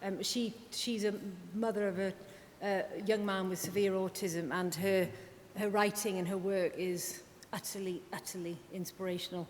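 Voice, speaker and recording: A woman speech and language therapist giving a chatty conference presentation, full of quick asides and self-deprecating remarks. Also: steady tone. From Wales. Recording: reverberant hall with faint rumble.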